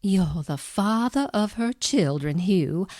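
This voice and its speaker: An actress playing a gracious, sympathetic woman in an audio drama, with a gentle, concerned manner. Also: mid-range voice, lively intonation, slow, no echo, clean studio sound. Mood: concerned, consoling.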